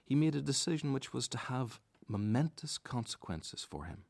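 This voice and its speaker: A man's voice: medium pitch, very animated, moderate pace, vocal fry, darkish tone, no echo. A man playing a reflective narrator who recalls a friend in scripted radio-drama storytelling. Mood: reflective, pensive.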